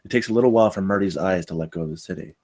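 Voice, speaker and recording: Fast-talking man narrating a script. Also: low-pitched, a little mellow. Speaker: narrator reading stage directions. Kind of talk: scripted narration at a table read.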